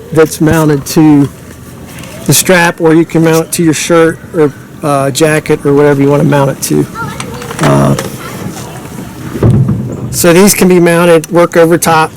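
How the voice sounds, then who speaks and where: Casting: male product demonstrator, slightly high voice, informal and off the cuff, some background noise. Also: rough recording. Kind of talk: casual unscripted product demonstration.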